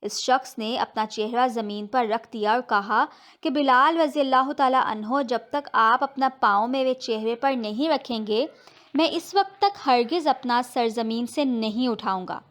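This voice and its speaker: A woman storyteller reads a scripted moral tale for children. Her voice sits fairly high, and she narrates in complete, prepared sentences. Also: normal speed, a bit bright.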